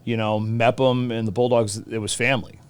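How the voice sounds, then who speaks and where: Casting: male podcast guest in his forties, Boston accent, crisp voice, casual and emphatic talker. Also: mid-range voice, level tone, fairly quick. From Boston, USA. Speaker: teacher and hockey coach, podcast guest. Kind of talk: casual interview chat.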